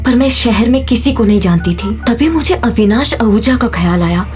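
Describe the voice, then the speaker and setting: Actress as a high, expressive young mother. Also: moderate pace, faint music behind, lightly acted. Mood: grave narration. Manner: emotional, dramatic. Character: defiant, desperate young mother narrating her story. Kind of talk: first-person audio drama narration and acting.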